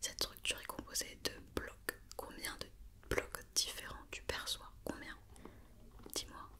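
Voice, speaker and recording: A woman doing a whispered ASMR roleplay as a doctor, patiently and gently repeating questions and reassurances. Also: measured pace.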